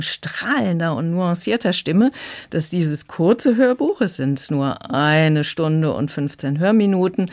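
A woman's voice: low-pitched, darkish tone. A woman working as an audiobook critic, explaining emphatically in a radio review conversation. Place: radio studio.

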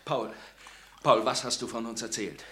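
A man's voice: medium pitch, normal speed, slightly rough. A man acting a stern young resistance leader who interrogates and commands, in a radio drama. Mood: interrogating. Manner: commanding, interrogating, shouting in bursts. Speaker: radio play actor.

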